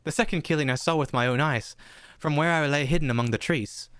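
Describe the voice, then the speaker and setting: A man's voice: a little high, fairly quick, fairly bright tone, smooth, dry recording. A man narrating a radio play in a theatrical storybook style.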